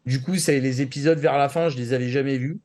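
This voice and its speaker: A man's voice: medium pitch, steady tone, fast talker, darkish tone. A man talking casually as a co-host on an anime show. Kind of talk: casual talk-show chat about anime.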